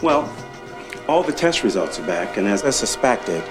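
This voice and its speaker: A middle-aged man playing a reassuring family doctor, explaining things in a measured, instructive way. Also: fairly low pitch, big pitch swings, over music. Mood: calm, reassuring. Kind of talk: scripted educational explanation.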